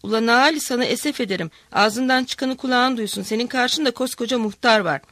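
A woman reading a novel aloud, voicing a bossy village headman who shouts at the villagers. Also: fairly high pitch, brisk, dry recording, lightly acted. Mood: indignant. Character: blustering male village headman.

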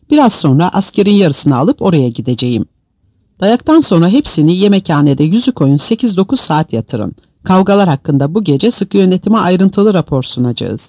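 A harsh military commander, acted by a male audiobook reader, authoritative and forceful. The voice sits high for a man and moves sharply up and down. The sound is very dry and close. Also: no echo, full character voice. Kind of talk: dramatized audiobook reading.

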